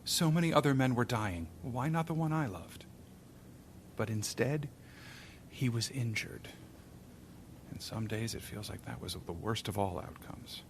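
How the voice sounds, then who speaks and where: A restless former adventurer, voiced by a man speaks in a reflective, wistful way, at a middle pitch. His tone is thoughtful in an audio drama. A faint hiss sits behind the voice. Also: animated, measured pace, long pauses. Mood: sorrowful.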